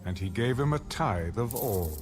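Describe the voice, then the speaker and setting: Expressive male scripture narrator over music. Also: fairly low pitch, moderate pace. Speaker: audio Bible narrator. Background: background music.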